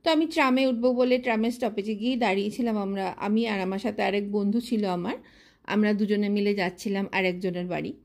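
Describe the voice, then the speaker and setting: A woman, telling her own love story to viewers in a chatty, reminiscing way with frequent pauses. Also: medium pitch, fairly even intonation, a little mellow. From Kolkata, India. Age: 50s.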